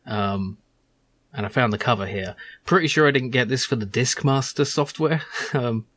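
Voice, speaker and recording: Male let's play host, average pitch, casual commentary, loose thinking-aloud delivery. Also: normal speed, a bit bright. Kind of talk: casual game commentary and comic-book narration.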